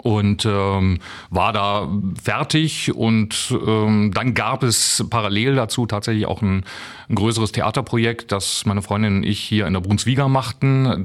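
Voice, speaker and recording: A man's voice: lowish voice, moderate pace. A man from Germany, a guest in a radio studio interview, speaking hesitantly with many ums and a wry tone. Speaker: guest, writer and musician.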